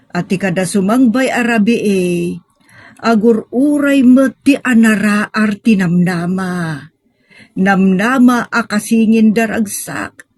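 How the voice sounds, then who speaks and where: A woman playing a pious, motherly older woman who gives measured moral counsel in an Ilocano melodrama. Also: medium pitch, a little mellow, dry recording. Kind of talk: Ilocano radio-style melodrama.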